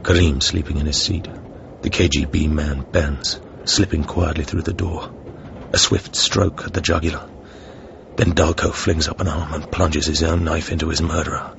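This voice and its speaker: A suave British secret agent in a radio play. His voice is low and creaky, his delivery clipped, cool and understated, on a muffled recording. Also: steady tone, normal speed, background noise. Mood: grim. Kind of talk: radio drama acting.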